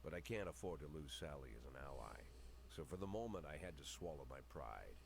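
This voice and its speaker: A low-pitched, world-weary older police chief in a noir video game monologue. He is dry, brooding and bitter, with clear noise behind the recording. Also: animated, brisk, exaggerated voice. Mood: bitter, wry. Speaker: video game narrator and lead character.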